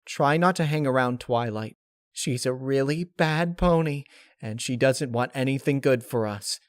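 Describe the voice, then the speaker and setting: A man voicing an excitable female cartoon pony, bubbly and scheming, in an emphatic, eager storybook reading. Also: measured pace, dry recording, clean studio sound, natural voice. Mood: anxious pleading. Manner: emphatic, eager, high-strung. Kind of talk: dramatic fan-fiction character reading.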